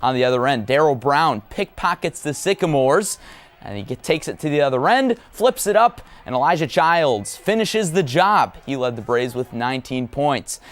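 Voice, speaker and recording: A man's voice: mid-range voice, big pitch swings, normal speed. A man calling sports as a play-by-play announcer and anchor, in a commentary style. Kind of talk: sports commentary and highlight narration. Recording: crowd and outdoor noise behind. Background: crowd and ambient noise.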